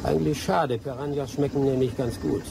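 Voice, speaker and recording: A man's voice: medium pitch, a little fast, gravelly, background noise, lightly acted. An actor playing a seasoned, teasing male jungle adventurer with dry humour in a German radio drama.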